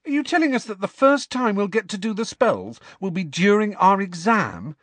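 An incredulous teenage girl in an audiobook, voiced by a man, set high with very animated pitch. She is bold and insistent, pressing her questions with indignation. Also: fairly quick, no room sound, exaggerated voice. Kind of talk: audiobook character voice.

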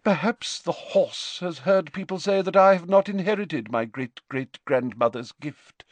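A man voicing a haughty older female divination teacher in a storybook, with theatrical, drawn-out words and sniffy, mystical self-importance. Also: a little high, no echo, voice pushed up. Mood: indignant, slightly hysterical.

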